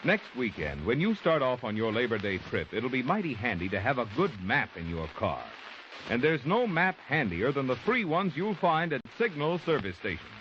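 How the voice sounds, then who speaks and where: Animated male old-time radio announcer. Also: mid-range voice, normal speed. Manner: polished salesmanship. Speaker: commercial announcer. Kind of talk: 1940s radio advertisement, scripted pitch. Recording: muffled old radio recording, faint noise.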